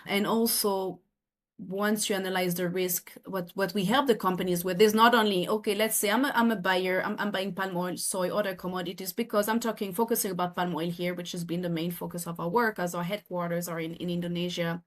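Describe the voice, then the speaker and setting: French-accented woman, low-pitched, explaining. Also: fairly even intonation, a little fast. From France. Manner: earnest, explanatory, with frequent restarts. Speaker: guest. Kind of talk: podcast interview.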